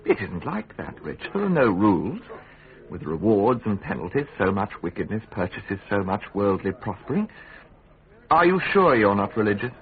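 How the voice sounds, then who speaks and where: An actor with an English accent playing a smug, worldly government schemer in a Tudor radio drama, teasing and insinuating with pointed pauses. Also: male voice, lowish voice, big pitch swings. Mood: smooth, cynical. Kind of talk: British radio drama acting. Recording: tinny narrow-band radio recording.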